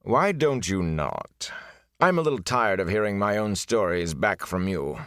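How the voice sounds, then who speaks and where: Guy doing a booming lazy old minstrel, grand and slow-spoken. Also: very animated, fairly bright tone, clean studio sound, heavily acted. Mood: weary. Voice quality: reverberant. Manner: indolent, grandiose.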